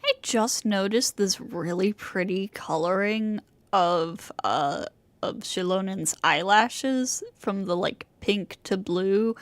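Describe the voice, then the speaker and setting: Casual female game streamer, slow musing delivery, mid pitch, dry close mic. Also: dry recording. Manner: musing aloud, halting, piecing thoughts together. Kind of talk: casual live commentary over gameplay.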